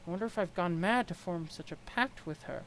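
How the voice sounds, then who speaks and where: A performer plays a haughty male alien noble in a fan-made comic dub. He speaks stiffly and formally, choosing pedantic, halting words, and seems easily rattled. There is clear noise behind the voice. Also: medium pitch, a bit bright, natural voice, poor audio. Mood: troubled, confessional. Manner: stiff, pedantic, halting, over-polite diction.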